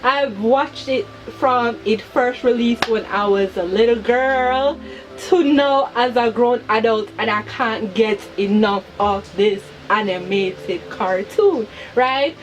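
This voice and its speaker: Hyper, slow-paced young woman vlogger. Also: fairly high pitch, faint music behind, rough recording. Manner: hyper, giggly, emphatic repetition of words. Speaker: video channel host. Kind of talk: chatty personal commentary to viewers.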